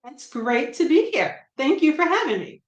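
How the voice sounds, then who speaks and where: A middle-aged woman from New Jersey with a light Brooklyn accent, a comic writer chatting as a guest, self-deprecating and quick to laugh. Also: high-pitched, big pitch swings. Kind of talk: casual interview chat. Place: room at home. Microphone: video call. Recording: roomy echo on a video call.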